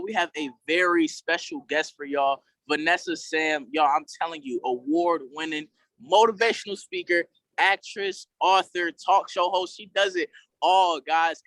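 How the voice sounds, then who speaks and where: A man's voice: fairly high pitch, a bit bright, no echo, poor audio. A young man co-hosting a podcast, speaking informally and with enthusiasm. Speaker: podcast co-host. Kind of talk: casual, hyped podcast chat.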